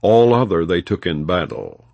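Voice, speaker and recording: Low-voiced man reading scripture solemnly. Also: very animated, moderate pace, vocal fry, darkish tone, dry recording, lo-fi sound. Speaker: audiobook narrator. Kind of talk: non-dramatized scripture reading.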